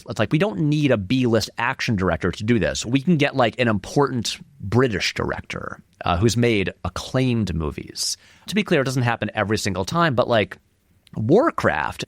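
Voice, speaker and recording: A man's voice: mid-range voice, no room sound, clean studio sound. A man giving casual, discursive film commentary as an interview guest, explaining animatedly and peppering his talk with "like". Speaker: interview guest, filmmaker and video essayist.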